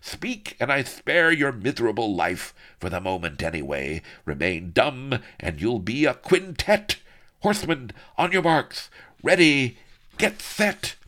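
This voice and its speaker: A man's voice: mid-range voice, expressive, unhurried, a bit bright, voice pushed up. A man voicing a bombastic, bloodthirsty Spanish villain lord in an adventure story, with pompous, bellowing, theatrical ranting. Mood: gloating, menacing.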